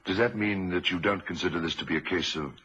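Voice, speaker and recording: A man's voice: deep voice, fast talker, a bit bright, a little hiss or hum. A man playing a courteous, concerned doctor in a vintage radio drama, speaking formally and with grave hesitations. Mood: grave, guarded. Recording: dull, muffled vintage radio recording.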